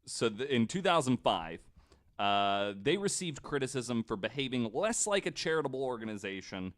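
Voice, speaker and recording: A man presenting a researched story on a casual podcast, speaking in a halting way as he explains from his notes. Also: mid-range voice, big pitch swings.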